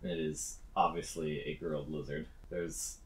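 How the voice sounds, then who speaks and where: A woman's voice: rumbling low, flat delivery, normal speed. A woman acting as game master, narrating a tabletop role-playing game in a descriptive way.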